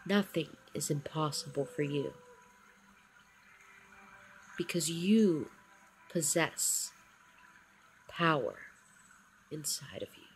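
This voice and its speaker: A woman narrating a scripted guided meditation in a soothing, encouraging manner, with short phrases and long pauses. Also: sits low, a little hiss or hum, poor audio. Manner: encouraging affirmations. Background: background music.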